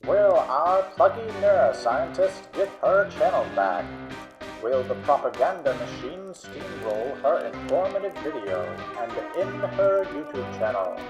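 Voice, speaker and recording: Casting: theatrical radio serial announcer, higher-pitched, unhurried, teasing parody with cliffhanger suspense. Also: male voice, sing-song, highs cut off. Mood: melodramatic suspense. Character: plucky old-time radio serial announcer, melodramatic teasing narrator. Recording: band-limited old-time radio sound, loud music.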